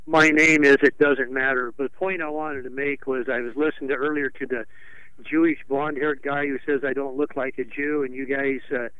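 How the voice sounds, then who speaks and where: A man's voice: mid-range voice, little pitch movement, brisk, smooth, no echo. A man calling in to a radio show, rambling and indignant, with many restarts. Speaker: radio caller. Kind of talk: call-in radio complaint. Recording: phone line.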